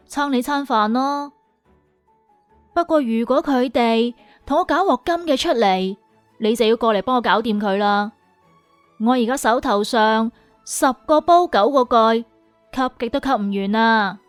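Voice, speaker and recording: A woman acting a proud, hurried businesswoman in a Cantonese audio drama, with a southern Chinese Cantonese accent. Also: sits high, no echo. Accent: Hong Kong or Guangdong Cantonese. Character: proud, hurried businesswoman running her own company.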